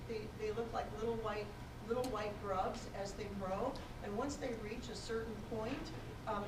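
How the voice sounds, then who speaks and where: A woman presenting a beginner beekeeping class to a room, loud-voiced and talking in a halting way with fillers and restarts. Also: medium pitch, very noisy. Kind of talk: classroom lecture with slides. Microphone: far room recording, no mic for a while. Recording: echoey room from a distance.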